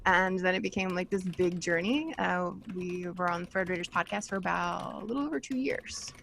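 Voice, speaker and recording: A woman's voice: lowish voice, moderate pace, gravelly, fairly bright tone, a little hiss or hum. A woman chatting casually as a podcast host on a panel, informal and giggly.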